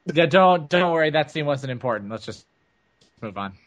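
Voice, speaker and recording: A man's voice: on the high side, lively intonation, moderate pace, highs cut off. A man casually commenting on a movie as he watches it with friends. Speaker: co-host of a film-watching show.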